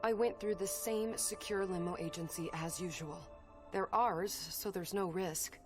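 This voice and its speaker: A woman's voice: on the low side, steady tone, unhurried, lightly acted. An actress playing an anxious, frightened subordinate woman in a video game cutscene, nervous and deferential. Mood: anxious, defensive. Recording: music behind.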